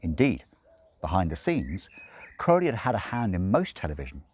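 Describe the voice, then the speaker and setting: A man reading a novel aloud as expressive narration, with measured, dramatic phrasing and deliberate pauses. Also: sits low, slightly rough. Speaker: audiobook reader.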